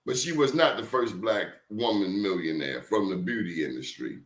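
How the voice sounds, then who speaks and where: A man's voice: fairly low pitch, animated, normal speed, roomy sound. A man talking as a professor guest in casual conversation, telling emphatic stories and throwing out rhetorical questions.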